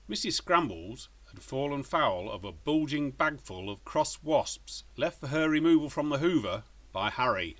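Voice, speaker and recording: A man's voice: medium pitch, expressive, normal speed, few pauses, fairly bright tone. A man narrating a children's story as its author, in a scripted reading style.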